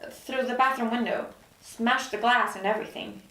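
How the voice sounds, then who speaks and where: Higher-voiced, assertive career woman, acted out. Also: steady tone, moderate pace, fairly bright tone, close to an everyday voice. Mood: wary small talk. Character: driven, sharp-tongued ambitious woman executive, indignant and wry. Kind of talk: table read acting. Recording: echoey room, distant mic.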